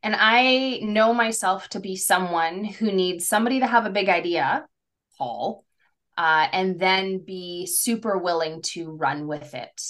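A woman's voice: mid-range voice, unhurried, a bit bright. A woman co-hosting a podcast as a career coach, talking in an earnest, reflective way in long, flowing lists. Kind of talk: conversational podcast talk.

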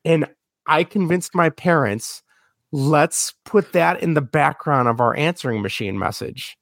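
A man's voice: a little high, measured pace, creaky, fairly bright tone, no echo, clean studio sound. A man in his thirties co-hosting a podcast, chatting casually and reminiscing, now and then talking to viewers in the live chat. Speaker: podcast co-host.

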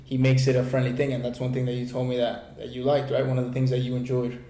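A man's voice: medium pitch, fast talker, reverberant. A man hosting a casual conversation, talking with energy and often checking "right?". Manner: energetic, keeps saying "right?". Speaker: host.

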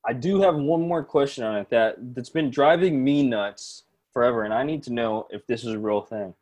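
A young man co-hosts a relaxed conversation about a TV show. He speaks in a measured, thoughtful way. His voice sits at an ordinary pitch, and he laughs easily. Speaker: podcast co-host. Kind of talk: casual chat reviewing a TV episode.

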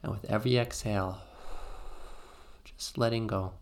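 A man narrating a guided meditation in a soothing, gently instructive way with pauses between phrases. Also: lowish voice, fairly even intonation.

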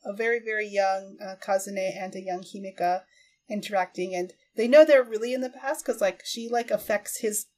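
A woman's voice: medium pitch, normal speed, darkish tone, rough recording. A woman on a podcast giving a loose, rambling recap in casual chat, with little laughs between phrases.

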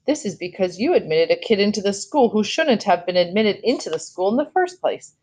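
A woman voicing an angry, defensive mother in an audiobook, with sharp, argumentative retorts. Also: medium pitch, lively intonation, a little fast, breathy edge. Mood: indignant.

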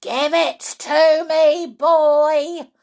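Storybook grandmother, acted by a woman: elderly bullying hag, greedy, loud, self-pitying, very animated intonation. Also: fairly high pitch, slow, exaggerated voice. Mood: furious. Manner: shouting, accusing, self-pitying.

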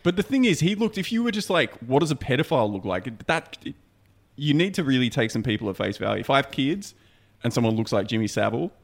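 A man's voice: mid-range voice, sing-song, fast, creaky. A man hosting a podcast in casual banter, talking bluntly and swearing freely. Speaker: podcast host.

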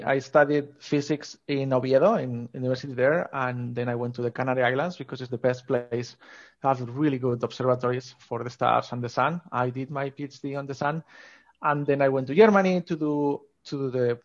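A man's voice: mid-range voice, dull audio. A man from northern Spain with a Spanish accent, talking enthusiastically and informally as a guest in a conversational interview. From Asturias, northern Spain. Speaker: podcast guest, scientist.

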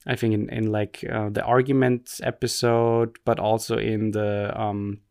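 A man's voice: lowish voice, flat delivery, unhurried, a little mellow, clear, resonant tone, no echo, studio quality. A man from Austria co-hosting a podcast, chatting casually in a rambling, self-mocking way. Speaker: podcast co-host. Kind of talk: casual chat.

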